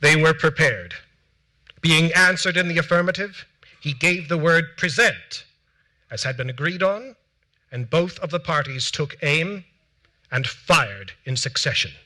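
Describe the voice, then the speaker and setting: An actor playing a solemn early American gentleman, formally and deliberately reciting a witness account in a stage reading. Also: fairly high pitch, animated, exaggerated voice, low-quality recording. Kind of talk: theatrical dramatic reading of period documents. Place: theatre stage before a seated audience.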